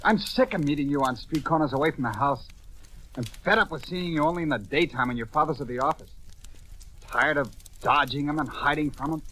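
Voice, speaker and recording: A man's voice: on the high side, brisk, sharp tone, poor audio. A man playing a defiant, indignant young boyfriend in an old-time radio drama. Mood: frustrated, insistent. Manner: insistent, indignant.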